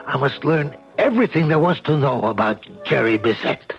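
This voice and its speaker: Brief: a bereaved, brooding father turned avenger, a middle-aged voice with measured, intense delivery, 1970s radio drama with music. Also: fairly high pitch, sing-song. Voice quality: slow, deliberate and intense. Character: grieving father, quietly set on revenge. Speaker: lead and narrator. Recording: dull old radio broadcast with music.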